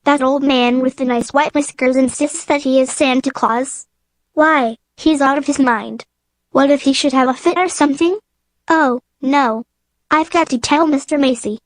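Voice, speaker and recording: A woman's voice: high-pitched, steady tone, normal speed, dry recording, natural voice. A woman playing an anxious, businesslike department store executive in a radio drama. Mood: flustered. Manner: earnest, worried.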